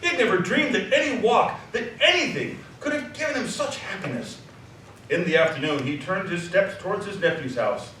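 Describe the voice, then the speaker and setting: A man's voice: high voice, animated, moderate pace, pushed and bright, a little hiss or hum. A man reading scripted storytelling narration as a narrator in a live drama. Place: live theatre stage. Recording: very echoey stage from far off.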